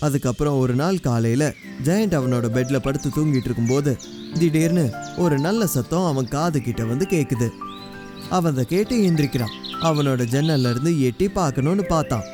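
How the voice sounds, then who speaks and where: A male narrator tells a children's story in a colloquial way. His voice is slightly high, and music plays prominently behind him. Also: lively intonation, fairly quick.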